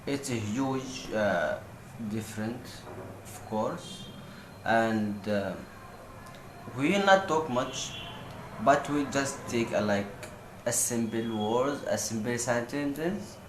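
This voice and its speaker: A man's voice: medium pitch, roomy sound, background noise. A young man with an Egyptian accent, casually teaching simple phrases and repeating them with lots of fillers. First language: Egyptian Arabic. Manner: loose, repetitive drilling of phrases, many fillers. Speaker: language video host. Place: room at home, seated on a sofa.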